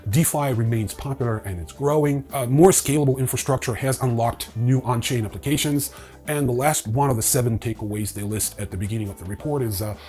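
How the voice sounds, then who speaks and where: A man's voice: medium pitch, a little mellow. A man with a mid-range voice presents a news rundown as the show's host. His delivery is casual and wry, slipping in opinionated commentary. Light music plays behind him. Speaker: host of a crypto news show.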